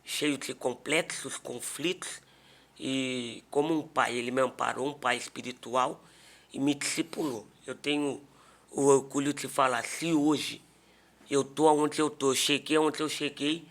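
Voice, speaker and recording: A man's voice: mid-range voice, fairly even intonation, a little slow, a bit bright. A man with a Brazilian accent telling personal stories in a casual podcast conversation as a pastor. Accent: Brazilian Portuguese.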